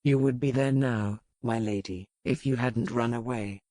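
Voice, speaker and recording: A man's voice: medium pitch, normal speed, mellow, dry recording, studio quality, close to an everyday voice. A man acting a brooding, grave pirate lord. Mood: defensive, earnest. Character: brooding male pirate lord, sun-bronzed sea captain and lover. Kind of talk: dramatic audiobook character dialogue.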